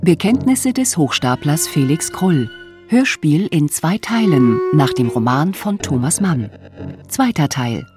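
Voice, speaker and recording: Female radio announcer for formal scripted credits, animated pitch, prominent instrumental music underneath. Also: unhurried.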